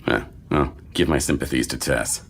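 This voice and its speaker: A man playing a sardonic doctor in his fifties, deadpan and wry, in a screenplay reading. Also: moderate pace, creaky. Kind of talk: screenplay table read. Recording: faint low rumbling noise.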